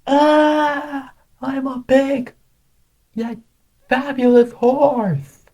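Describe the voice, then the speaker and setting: A man's voice: very high-pitched, fairly even intonation, very slow, darkish tone, pitched above the performer's own voice, full character voice. A man voicing a vain, easily panicked young pop princess turned cartoon horse in a fan story. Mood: panicked screaming.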